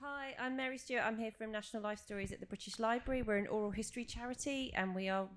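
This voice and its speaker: A woman's voice: fairly high pitch, fast. A woman making an announcement from the audience at a live event, articulate, enthusiastic and promotional in her delivery. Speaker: charity worker speaking from the audience. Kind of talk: prepared-sounding public announcement. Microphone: handheld audience microphone.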